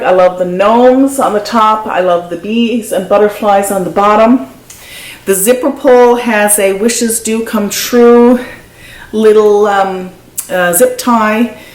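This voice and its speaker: A middle-aged woman from Newfoundland with a Newfoundland accent, hosting a knitting podcast and chatting enthusiastically as she shows off craft supplies at home. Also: medium pitch. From Newfoundland and Labrador, Canada. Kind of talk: casual show-and-tell chat. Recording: echoey room with faint noise.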